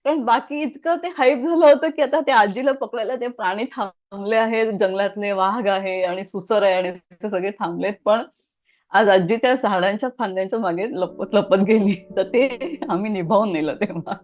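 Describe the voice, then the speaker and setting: A middle-aged woman from Maharashtra talking as an interview guest, telling anecdotes conversationally in an animated manner. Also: medium pitch, moderate pace, dull audio.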